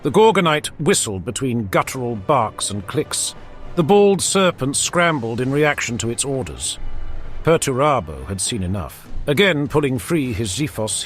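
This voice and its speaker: A man's voice: mid-range voice, fairly bright tone. A man reading scripted battle fiction as a dramatic narrator, with theatrical, emphatic storytelling. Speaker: audiobook-style narrator. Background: background music.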